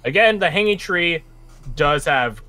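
A man's voice: on the high side, lively intonation, a little slow, bright, forceful tone. A man narrating as game master in a casual online tabletop session, rambling and searching for words as he describes scenes.

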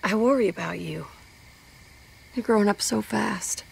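An actress playing a tender, weary mother in a video game, soft and husky, with a gentle, reflective delivery. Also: medium pitch, measured pace, heavily acted, low-quality recording. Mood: tender, wistful. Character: tender, weary mother talking to her son. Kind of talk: animated game character acting.

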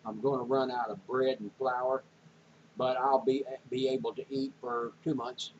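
A man's voice: mid-range voice, flat delivery, moderate pace, slight background noise. An older man, rambling folksy about groceries and canning in a casual home vlog, with many fillers. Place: small home room indoors. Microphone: webcam or handheld camera. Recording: dull lo-fi home camera audio.

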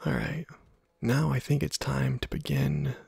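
A man whispering ASMR game commentary close to the mic, breathy and muttering dryly to himself. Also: medium pitch, steady tone, a little slow, a little mellow, no room sound. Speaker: gaming video creator.

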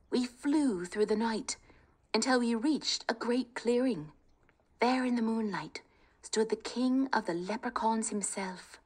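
A quick-witted young peasant woman, put on by a woman reading a storybook aloud, her voice lifted high above the narrator's own. She talks in a lively, coaxing way, unhurried and bright in tone. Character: clever bold young Irish peasant woman.

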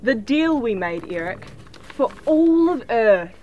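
A woman's voice: a little high, sing-song, slow pace, a little mellow, dull audio, slight background noise. A woman playing an impatient, menacing alien supreme leader in a superhero spoof film, theatrical and contemptuous. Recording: music under the voice.

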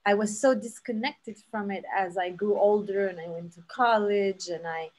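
A woman's voice: medium pitch, normal speed, darkish tone. A woman in her thirties from Palestine, giving an earnest storytelling talk over a video call from her home, full of fillers and restarts. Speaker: seed saver giving a talk. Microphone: laptop webcam mic on a video call.

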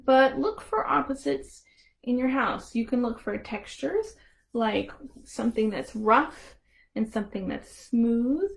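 A woman's voice: fairly high pitch, animated, unhurried, gravelly. An American woman hosting a storytime for young children as a librarian, playful and encouraging, speaking in simple phrases.

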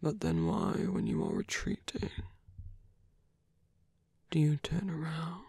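Man acting a breathy, slow, seductive villain. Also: a little high, huge pitch swings, darkish tone, no echo, heavily acted. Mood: playful, seductive. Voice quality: breathy, often dropping into whisper. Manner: slow, teasing, coaxing, with long pauses. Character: smooth seductive male villain tempting a captive hero. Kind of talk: ASMR roleplay voice acting.

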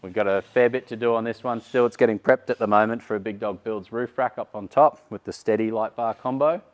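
A man's voice: on the low side, brisk. A man, giving a casual walkaround tour of a vehicle workshop with frequent fillers. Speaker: workshop host. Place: large vehicle workshop indoors.

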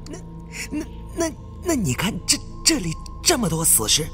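A man voicing a jumpy, gruff tomb raider with a heavy stutter in an audiobook. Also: extremely high pitch, wildly swooping pitch, moderate pace, a bit bright, soft background music, pitched above the performer's own voice, exaggerated voice. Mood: anxious.

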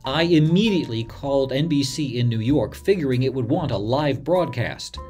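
A male actor plays a radio newsman looking back on a disaster. He tells it as a scripted first-person memoir, earnest and somber. Soft music sits behind the voice. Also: mid-range voice, animated, moderate pace, natural voice.

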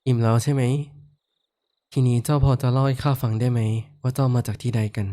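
A man voicing a kindly horned giant lord who speaks softly, comforts the listener, and teases playfully in a roleplay audio drama. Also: mid-range voice, fairly even intonation, fairly quick, lightly acted. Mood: gentle, comforting. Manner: soft-spoken, comforting, playfully teasing. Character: kindly male giant lord with horns, gentle and teasing.

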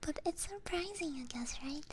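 A woman whispering softly and casually to her viewers as an ASMR livestreamer, close to a binaural microphone. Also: sits high, lively intonation, moderate pace, noisy background. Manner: soft, hushed whispering.

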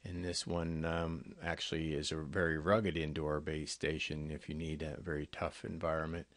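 A man giving an informal, halting product walkthrough as a company representative, explaining things in a conversational way. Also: rumbling low, flat delivery, no echo. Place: close, dry voice-over on a screen recording.

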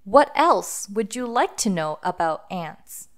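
A woman hosting a children's phonics read-aloud, speaking deliberately and sounding out letters and words for young listeners. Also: medium pitch, big pitch swings.

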